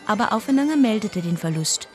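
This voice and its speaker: A woman's voice: medium pitch, expressive, fairly quick, faint music behind, lightly acted. Radio drama: actress as wistful, tender deaconess, mid-range female voice, partner to a police inspector. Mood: reflective narration. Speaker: actress, also narrating the story. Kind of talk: German radio drama dialogue and narration.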